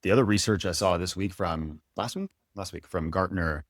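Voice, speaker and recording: A man's voice: sits low, moderate pace, no echo, clean studio sound. A man hosting an interview in a casual, conversational style, with thoughtful, hedged phrasing. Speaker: interview host.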